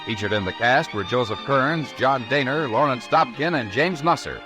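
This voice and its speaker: Formal vintage radio announcer over music. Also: male voice, mid-range voice, lively intonation, moderate pace. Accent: American. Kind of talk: scripted 1950s radio credits.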